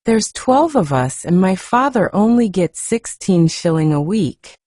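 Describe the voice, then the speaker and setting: A woman's voice: medium pitch, very animated, measured pace, dark tone, no echo, clean studio sound. A woman voicing a good-tempered, outspoken young Yorkshire housemaid in a storybook reading, chatty and homely.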